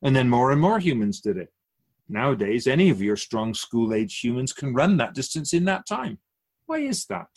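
A man playing a wise, otherworldly spirit in a radio drama, patient and gently teasing, speaking in a measured, formal way with musing questions. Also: medium pitch, very animated. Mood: musing, encouraging.

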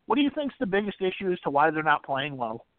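Male sports podcast host, slightly high voice, phone-like narrowband sound, very dry. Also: big pitch swings, quick pace, dry recording. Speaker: podcast host interviewing a guest. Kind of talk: casual sports interview.

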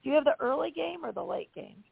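A woman's voice: high-pitched, lively intonation, quick pace, dry recording. A woman hosting a casual call-in radio chat, losing her voice, chatty and laughing often. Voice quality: gravelly, husky. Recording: tinny dry phone line.

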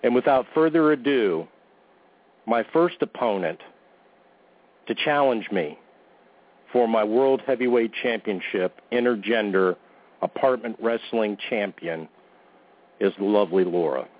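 A man hosts a casual talk show, cracking jokes at his own expense between plugs and news. His voice sits on the low side. The sound is thin and boxy, like a phone line. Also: measured pace, long pauses. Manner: goofy, joking, self-deprecating. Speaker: podcast host. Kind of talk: casual radio-show banter and announcements.

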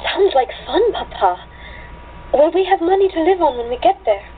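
A woman's voice: extremely high pitch, sing-song, normal speed. A woman playing an eager, brave young seamstress daughter, earnest and dutiful, in an amateur radio play. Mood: questioning. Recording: tinny phone-like line with hum.

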